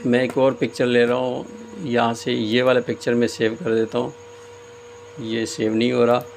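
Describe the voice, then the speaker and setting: A man giving a casual step-by-step screen tutorial as host, often pausing hesitantly mid-sentence. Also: mid-range voice, level tone. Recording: clear background noise, room ambience.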